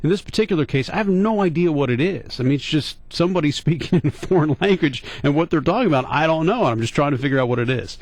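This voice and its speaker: A man's voice: a little high, expressive, quick pace, few pauses, no echo. A middle-aged American man hosting talk radio, telling a casual story in a conversational, questioning way and repeating points for emphasis. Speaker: radio talk show host. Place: radio studio.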